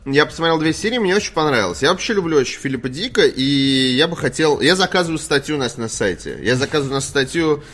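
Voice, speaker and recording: A man's voice: fairly high pitch, lively intonation, fast talker, hardly any pauses, a bit bright. A man hosting a games news stream, talking casually in a slangy, opinionated, rambling way. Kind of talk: casual live stream chat.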